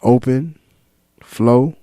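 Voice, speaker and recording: A man talking freely to his viewers in a reflective monologue, slow and deliberate, listing ideas one word at a time with some fillers and repeats. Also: mid-range voice, dark tone, no echo. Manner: lists ideas in measured single words. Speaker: vlogger.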